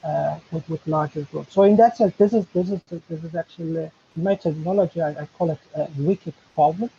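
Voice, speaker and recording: A man speaks as a professor on a webinar panel. His voice is gravelly and mellow, and his talk is halting and full of fillers and restarts. The video call audio is dull, with a faint hiss behind it. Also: fairly high pitch. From Turkey.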